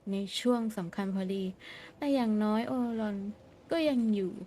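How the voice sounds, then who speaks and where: Very fast-talking woman streaming a game. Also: on the high side, mellow. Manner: informal, blunt, teasing. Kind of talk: casual gameplay commentary.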